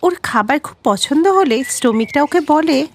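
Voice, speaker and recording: A woman's voice: high voice, lively intonation, moderate pace, a little hiss or hum. A woman reading scripted narration for a story, as a storyteller.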